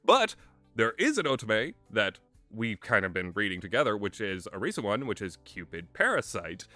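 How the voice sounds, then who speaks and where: Bright-voiced man rambling about games. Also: fairly low pitch, sing-song, normal speed, dry recording. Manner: conversational, opinionated, emphatic. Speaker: video game reviewer. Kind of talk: casual rambling commentary. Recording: music playing under the voice. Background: background music.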